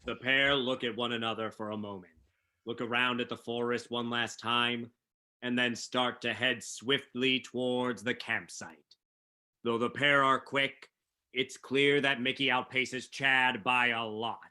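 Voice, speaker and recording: A man's voice: mid-range voice, steady tone, a little slow, pushed and bright. A man reading scripted narration for a staged play reading.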